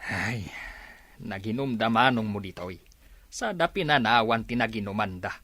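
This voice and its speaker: A woman playing an excitable, grumbling villager in an Ilocano comedy radio drama. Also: a bass voice, moderate pace, no echo, heavily acted. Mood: indignant.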